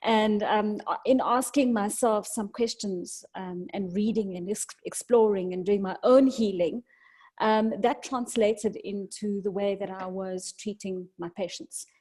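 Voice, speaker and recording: A South African woman talks as a guest in a podcast interview. Her voice is mellow and mid-pitched, and she speaks reflectively, pausing often as she gathers her thoughts. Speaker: podcast guest, medical doctor.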